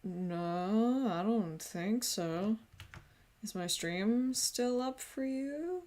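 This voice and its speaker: A woman's voice: medium pitch, lively intonation, extremely slow. A woman livestreamer chatting casually while playing through a video game. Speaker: streamer voicing a game playthrough with a friend. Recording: loud background music.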